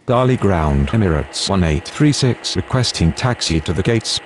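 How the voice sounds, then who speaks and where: A very low male voice delivers clipped aviation radio phrases in a formal, businesslike way, as a man acting a pilot or controller in a simulator game. There is faint noise behind the voice. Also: lively intonation, normal speed. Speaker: radio voice of airline pilots and air traffic controllers.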